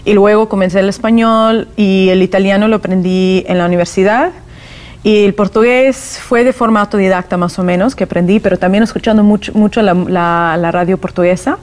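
A woman's voice: mid-range voice, fairly even intonation, fairly quick, a little mellow. Woman guest explaining with many fillers. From Soviet Union, raised in California. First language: Russian. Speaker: guest, language teacher. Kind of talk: TV interview.

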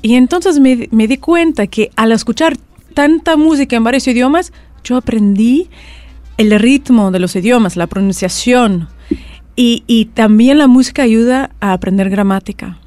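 Woman w a mixed spanish-argentine-mexican accent, kinda high voice, dry close sound, chatty teacher telling stories. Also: normal speed, no echo, faint music behind. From California, USA. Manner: chatty, animated storytelling with restarts. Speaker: guest, language teacher. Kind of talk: conversational interview.

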